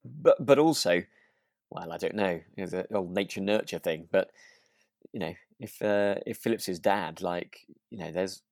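A man's voice: low voice, moderate pace, gravelly, a little mellow, dry recording, studio quality. A man co-hosting a podcast, chatting casually in a tentative, musing and rambling way. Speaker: podcast co-host. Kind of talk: casual chat about a radio drama.

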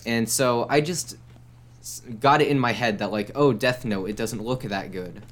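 A young man talking as a guest on a casual podcast, speaking in a measured, thoughtful way. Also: medium pitch. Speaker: guest, anime reviewer. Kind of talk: casual chat.

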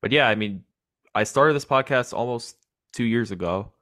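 A man's voice: medium pitch, normal speed, fairly bright tone, no echo, studio quality. A young man with a nasal voice hosting a chess podcast, joking and self-deprecating in casual chat. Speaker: podcast host.

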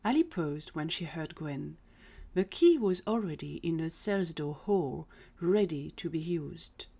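A woman's voice: low-pitched, expressive, a little slow, gravelly, mellow, breathy edge. A woman reading scripted third-person narration for an audio drama.

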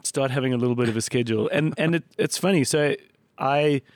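A middle-aged South African man with a slightly croaky voice, hosting a casual chat and telling anecdotes in a self-deprecating way. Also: mid-range voice, fairly quick, dry recording. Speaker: podcast host.

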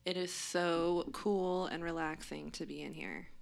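A young woman with a somewhat low voice chats casually on a podcast from a home studio. She talks with fillers and drops the odd swear word. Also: steady tone, measured pace, creaky. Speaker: podcast co-host. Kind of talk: casual chat.

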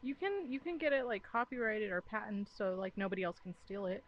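A woman's voice: mid-range voice, lively intonation, a little fast, highs cut off, a little hiss or hum. A woman hosting a podcast in casual chat, teasing and laughing often. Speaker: podcast host.

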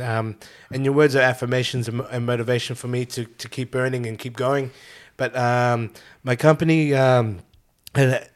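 A man, raised there, hosting a casual podcast from home and rambling with lots of fillers and restarts. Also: medium pitch. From New Zealand. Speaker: podcast host.

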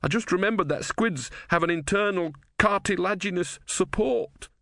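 A man voicing an anxious, high-pitched young novice. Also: moderate pace, pushed and bright, no room sound, clean studio sound. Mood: flustered.

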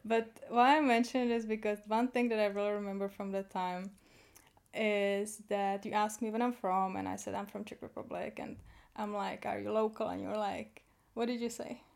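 A woman's voice: mid-range voice, flat delivery, moderate pace, vocal fry, a little mellow. A woman from Ukraine hosts a casual interview, asking curious follow-up questions. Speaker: host.

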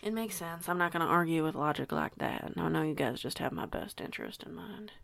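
Woman acting hesitant, curious young woman, creaky voice. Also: sits low, lively intonation, fairly quick, a bit bright, no room sound, heavily acted. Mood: resigned. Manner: hesitant, rambling, stammering. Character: curious, flustered young woman new to a wolf pack. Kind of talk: audio roleplay acting, casual dialogue.

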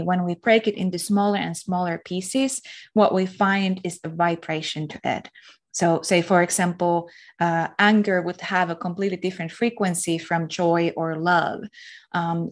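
A woman from Finland, speaking as an interview guest, reflective and pausing often to search for words. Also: on the low side. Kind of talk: casual spiritual interview talk.

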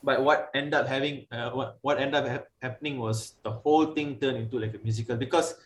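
A man from Malaysia with a Malaysian English accent, co-hosting a podcast in casual chat, rambling and halting with a lot of "like". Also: medium pitch, fairly even intonation, reverberant, slight background noise. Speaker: podcast co-host.

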